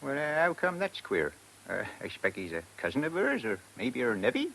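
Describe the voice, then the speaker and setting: A man's voice: medium pitch, wildly swooping pitch, brisk, creaky, a bit bright, exaggerated voice. An actor playing a nosy, gossipy village man in a British radio drama, with a broad rural English accent and folksy manner. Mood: curious, sly. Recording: hissy old radio recording.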